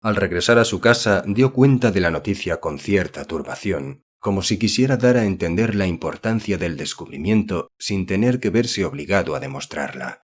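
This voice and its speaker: A man reading a horror novel as an audiobook narrator, in a measured, formal storytelling manner. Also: deep voice, animated, husky.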